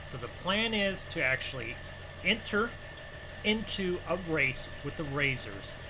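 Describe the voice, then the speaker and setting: A man bantering in an improvised gaming roleplay, excitable and full of exclamations, often urging others on. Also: a little high, unhurried. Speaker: gaming video creator playing a truck roleplay. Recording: faint background noise.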